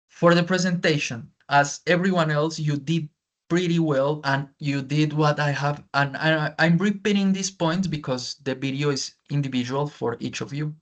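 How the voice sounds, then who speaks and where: A man with a strong Spanish accent, a native Spanish speaker, teaching English and giving feedback on a video call, often restating points in Spanish. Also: a little high. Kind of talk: online feedback session.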